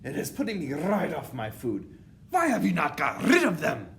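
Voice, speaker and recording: A man's voice: mid-range voice, animated, a little slow, creaky, noisy background, pitched above the performer's own voice. A man voicing a tyrannical, furious female leader of witches in a storybook, shrieking commands and rhetorical questions. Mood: disgusted, ranting. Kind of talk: children's storybook read-aloud.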